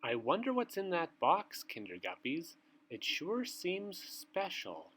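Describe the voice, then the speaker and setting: A man reading a picture book aloud to young children, with theatrical, drawn-out phrasing and asides to his listeners. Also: a little high, huge pitch swings, fairly bright tone, a little hiss or hum.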